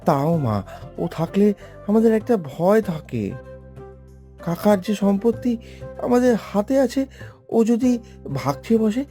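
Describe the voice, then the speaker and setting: A man's voice: high-pitched, a little slow, pauses often, warm tone. A man voicing a spoiled, scheming schoolboy son in a Bengali cartoon. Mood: whiny, conniving. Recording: music behind the voice.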